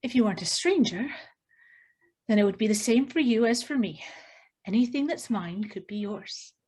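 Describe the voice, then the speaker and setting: An actress plays a timid, hopeful spinster niece in a drama table read. Her voice is slightly high and breathy, and she speaks with nervous, earnest hesitancy. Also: animated, normal speed, darkish tone.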